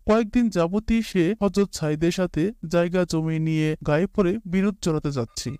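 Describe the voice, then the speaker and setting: Man playing a high-voiced, earnest cartoon father. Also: warm tone, clean tone, dry recording, studio quality, natural voice. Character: grown father figure, earnest, admonishing, pious moral storyteller. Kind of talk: scripted cartoon dialogue and moral storytelling.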